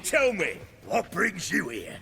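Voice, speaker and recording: A man playing a teasing, swaggering mercenary outlaw in a video game, with a rough, colloquial manner. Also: sits high, huge pitch swings, slow, bright, forceful tone, slight background noise, heavily acted. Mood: mocking. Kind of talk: video game cutscene acting.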